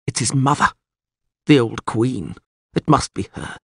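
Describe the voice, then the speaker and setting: A man's voice: mid-range voice, unhurried, gravelly, breathy edge, no room sound, clean studio sound, pitched above the performer's own voice. A man voicing an agitated, haughty nobleman in an audiobook, with a strained, raised voice. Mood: anxious, pleading. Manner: sneering, blustering, agitated. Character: haughty, furious nobleman, frightened and pleading when bound. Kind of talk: audiobook character acting.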